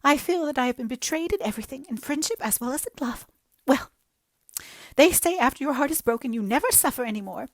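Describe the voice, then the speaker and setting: A woman's voice: high-pitched, animated, fast talker, dry recording, voice pushed up. A woman reading a book aloud and voicing a melodramatic, self-pitying young woman with gushing, theatrical talk. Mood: wailing. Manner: gushing, theatrical, breathless overstatement.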